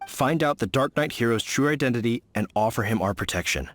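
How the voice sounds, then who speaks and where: A man's voice: medium pitch, lively intonation, fairly quick, fairly bright tone, lightly acted. A man voicing an earnest, dutiful knight officer who explains his orders formally, in video game acting.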